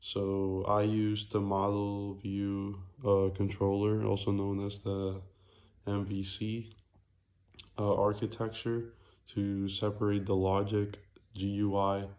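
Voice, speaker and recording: A young man presents a student project in a raspy voice with a completely flat intonation. He speaks haltingly and corrects himself as he goes, on a slightly muffled, lo-fi recording. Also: fairly low pitch. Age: young adult. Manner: hesitant, halting, self-correcting.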